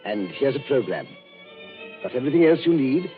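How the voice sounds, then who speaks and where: A man's voice: medium pitch, big pitch swings, a little fast, close to an everyday voice. An actor playing a formal, solicitous but uneasy businessman husband in 1940s radio style. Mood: courteous. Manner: formal, reassuring. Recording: muffled vintage radio recording with music.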